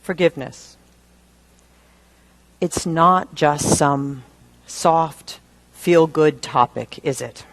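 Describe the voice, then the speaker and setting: A woman minister giving a sermon in a deliberate manner, with dramatic pauses. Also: low voice.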